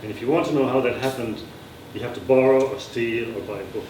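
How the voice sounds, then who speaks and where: A man's voice: medium pitch, fairly even intonation, quick pace, over a noisy crowd. A man with an Irish accent, an author giving a live reading of his story with informal asides. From Ireland. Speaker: author reading own book. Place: live reading at a society gathering. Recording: echoey room, distant mic, background noise.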